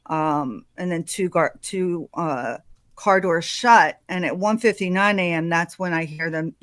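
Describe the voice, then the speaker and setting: A woman talks through a true crime case in a casual, halting way, filling her pauses with ums and uhs. Her voice sits on the low side. The sound is dry, with no room echo. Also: fairly bright tone. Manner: hesitant, full of ums and uhs. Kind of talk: casual video commentary.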